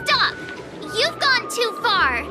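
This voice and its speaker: A very high, animated teenage girl's voice for a game character. She is eager and earnest, taking her time over her words, with a boastful streak that easily gets flustered. Music plays clearly underneath. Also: takes it slowly, heavily acted. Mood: defiant. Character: perky, eager teenage girl sword apprentice. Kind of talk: video game voice acting.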